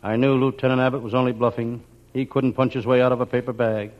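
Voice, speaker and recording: A man playing a wisecracking, down-on-his-luck private detective in a 1940s radio comedy, with deadpan, slangy delivery. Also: mid-range voice, fast talker, lightly acted. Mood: dry. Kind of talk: 1940s radio comedy acting, scripted first-person narration. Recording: muffled, very noisy old radio recording.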